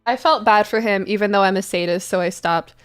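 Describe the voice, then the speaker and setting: A woman's voice: medium pitch, fairly quick, over loud music. A woman streamer reading fanfiction aloud in a mock-serious comic style, mixed with casual asides.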